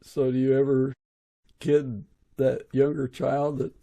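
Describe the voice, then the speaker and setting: A man's voice: mid-range voice, level tone, slow, creaky, warm tone. A middle-aged or older man hosting a casual interview, asking his guest questions conversationally. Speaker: podcast host, interviewer.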